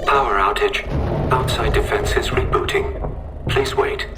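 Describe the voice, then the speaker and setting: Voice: medium pitch, a little slow, loud music behind the voice, close to an everyday voice. A performer voicing an impassive computer system, formal and automated, announcing alerts in a video game.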